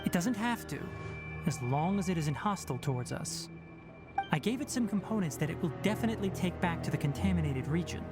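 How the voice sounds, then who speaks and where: A man voicing a calm young male forest ranger and scholar in a game, measured and analytical, explaining plans patiently. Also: on the high side, close to an everyday voice. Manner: measured, analytical, gently instructive. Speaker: forest ranger leading an investigation. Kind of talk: game voice acting. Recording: game audio under loud music.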